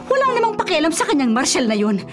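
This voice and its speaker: A woman's voice: high-pitched, big pitch swings, fast talker, music in the background. A woman playing a domineering, wealthy middle-aged mother in a Filipino radio drama, scolding and imperious in a melodramatic way. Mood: angry, insistent.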